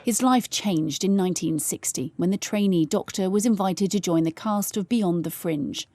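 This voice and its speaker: A British woman working as a news correspondent, reading a scripted obituary report in a measured manner. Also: fairly low pitch, background noise.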